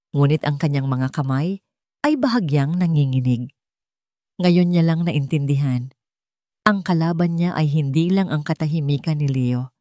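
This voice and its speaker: A woman narrating a dramatic story from a script in a measured storytelling manner. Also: sits low, darkish tone, dry recording, studio quality.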